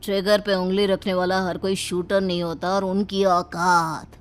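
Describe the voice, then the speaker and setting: A woman's voice: fairly low pitch, level tone, moderate pace, lightly acted. A woman voicing a bold, playful young daughter-in-law who swaggers like an action-film hero in a cartoon, with a dramatic delivery. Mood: defiant. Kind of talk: cartoon family drama acting. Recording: music behind the voice.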